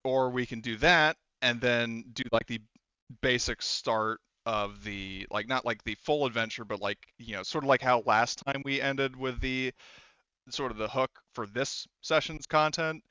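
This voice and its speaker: A man running a tabletop roleplaying game casually as game master, talking in a halting, rambling way. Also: mid-range voice, sharp tone, smooth, no echo, muffled recording.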